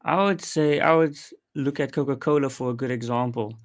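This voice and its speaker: A man's voice: medium pitch, normal speed. A man working as an audio editor, answering interview questions in a plain, matter-of-fact way with some fillers.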